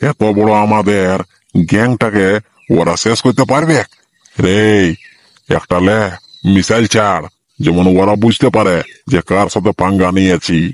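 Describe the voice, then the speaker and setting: A man putting on a deep gloating cartoon villain. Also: dry recording, natural voice. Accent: Purulia Bengali. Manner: slow, heavy, sneering drawl. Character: gloating male enemy war leader, boastful villain. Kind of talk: comic cartoon voice acting.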